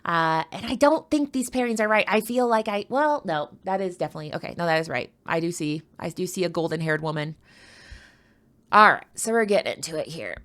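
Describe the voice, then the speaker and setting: A woman's voice: fairly low pitch, animated, a little fast, a bit bright. A woman from the United States giving casual, playful live commentary on a card tournament, chatting and rambling into asides.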